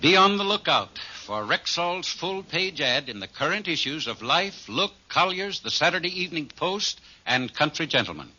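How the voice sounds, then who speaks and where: A man's voice: on the high side, big pitch swings, normal speed, hardly any pauses, background noise. A man announcing a commercial in a polished 1940s radio style. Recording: dull lo-fi old radio recording.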